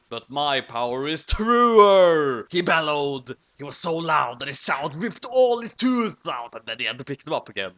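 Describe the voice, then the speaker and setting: A man's voice: fairly high pitch, fairly bright tone. A man reading a parody story in a theatrical, bombastic, mock-childish narrating style. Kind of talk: dramatic parody reading of a childish fan fiction.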